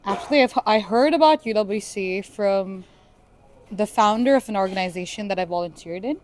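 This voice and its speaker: A young woman from Bangladesh answers interview questions at an unhurried pace, choosing her words with care. Her voice sits at an ordinary middle pitch. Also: a little mellow, a little hiss or hum. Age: young adult. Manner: thoughtful, slow-spoken, with frequent "super". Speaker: student interviewee.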